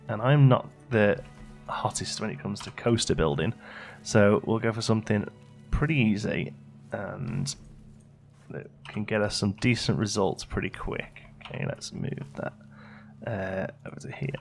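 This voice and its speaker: A man with a fairly low voice hosts a gaming video. He chats casually and thinks aloud as he plays, with music behind him. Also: creaky. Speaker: gaming channel host. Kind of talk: casual let's play commentary.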